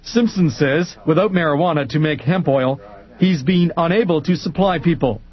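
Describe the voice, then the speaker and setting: A man narrating a TV news report in a measured, crisp way. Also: fairly high pitch. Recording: muffled broadcast audio.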